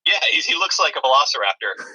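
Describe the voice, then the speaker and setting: A man's voice: mid-range voice, sing-song, fast, sharp tone, husky, poor audio. A man co-hosting a podcast, chatting casually about films with wry, dry-humoured asides. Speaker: podcast co-host. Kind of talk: casual movie review chat.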